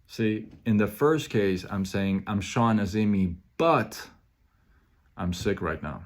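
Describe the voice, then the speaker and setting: Emphatic lower-voiced man hosting a motivational livestream. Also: lively intonation, takes it slowly. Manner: emphatic, repeats phrases, greets viewers by name; stutters at times. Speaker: live show host. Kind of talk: motivational live talk.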